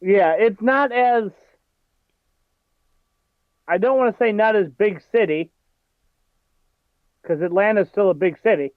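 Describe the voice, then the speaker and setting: A man's voice: high-pitched, measured pace, long pauses, no room sound, narrow, tinny audio. A man co-hosting a casual podcast, choosing his words carefully and hedging. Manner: careful, hedging word choice. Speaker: podcast co-host.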